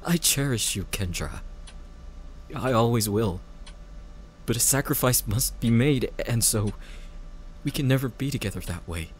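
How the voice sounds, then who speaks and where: Man acting remorseful young male agent, medium pitch, earnest, halting, devoted, audio drama, background ambience. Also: animated, a little hiss or hum, close to an everyday voice. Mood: sorrowful, tender. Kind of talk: emotional audio roleplay acting.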